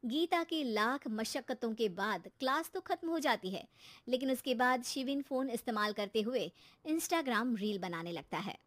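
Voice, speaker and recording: High-pitched woman storyteller. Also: animated, unhurried, fairly bright tone. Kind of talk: scripted story narration.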